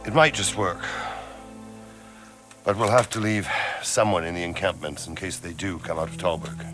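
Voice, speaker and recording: A man performs a seasoned, gruff medieval captain for a game. The voice sits low and speaks in a deliberate, wry manner. Music is clearly audible behind it. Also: close to an everyday voice. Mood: wary, wry. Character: gruff older medieval captain with aching joints. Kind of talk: dramatic video game cutscene acting.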